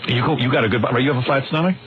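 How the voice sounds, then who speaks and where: A man's voice: medium pitch, racing. A man hosting a radio show, asking a female guest prying, teasing questions and laughing often. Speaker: radio host. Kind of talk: crude radio interview banter. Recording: dull radio recording with clear hiss.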